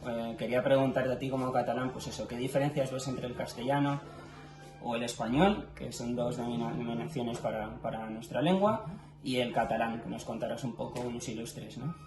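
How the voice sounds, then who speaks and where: A man's voice: medium pitch, fairly even intonation, brisk. Madrid man in an echoey room. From Madrid, Spain. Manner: frequent filler sounds and affirmations, hesitant restarts. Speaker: host of a language channel. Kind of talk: casual interview conversation. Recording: echoey room with music underneath.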